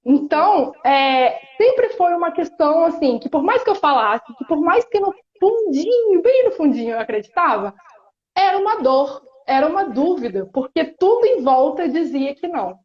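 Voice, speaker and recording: High-voiced Brazilian woman, earnest and reflective. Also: very animated. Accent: Brazilian Portuguese, Espírito Santo. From Espírito Santo, Brazil. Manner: earnest, reflective, many pauses and restarts. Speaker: guest. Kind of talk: casual chat on a live stream. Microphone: phone or laptop on a video call. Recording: roomy, dull live-stream audio.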